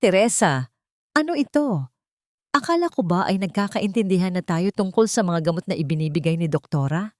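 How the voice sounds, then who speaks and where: A woman's voice: on the low side, lively intonation, normal speed, darkish tone, dry recording, clean studio sound, natural voice. Woman doing a tired rich dad in an audio drama, stern, suspicious, questioning. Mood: stern doubt. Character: weary, grieving, doubtful wealthy father.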